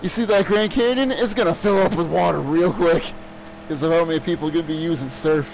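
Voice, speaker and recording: A man's voice: on the high side, fast talker, vocal fry, darkish tone, a little hiss or hum, poor audio. Joking, crude young man streaming a game. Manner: crude, joking, laughs a lot. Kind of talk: casual gameplay commentary.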